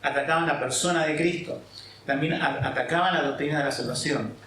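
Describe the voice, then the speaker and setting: A man's voice: fairly high pitch, fairly quick, bright, forceful tone, slight background noise. A man from Argentina, a pastor preaching a sermon and explaining scripture, often checking in with his listeners. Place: church hall. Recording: echoey hall, mic at a distance.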